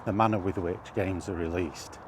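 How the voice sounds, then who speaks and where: A British man giving rambling, casual commentary while walking outdoors, halting and thinking aloud as he goes. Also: low-pitched, steady tone. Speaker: video host. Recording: outdoor ambient noise throughout.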